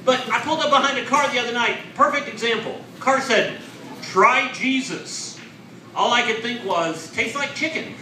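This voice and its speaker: A man's voice: very high voice, normal speed, pushed and bright, recorded from a distance, slight background noise. Man in his forties doing stand-up. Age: 40s. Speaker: stand-up comedian. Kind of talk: stand-up comedy set. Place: comedy club stage with live audience. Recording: echoey club, audience laughter.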